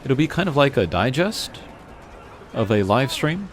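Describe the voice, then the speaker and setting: A man's voice: mid-range voice, sing-song, unhurried, a little hiss or hum. A man hosting a gaming channel, giving casual, rambling and hesitant playthrough commentary. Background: game music.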